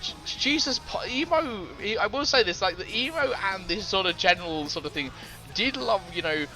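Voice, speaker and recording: A man's voice: high-pitched, lively intonation, moderate pace, bright, forceful tone, poor audio. A man co-hosting a casual music podcast, rambling with many fillers and restarts and laughing easily. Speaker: podcast co-host. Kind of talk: casual chat about music.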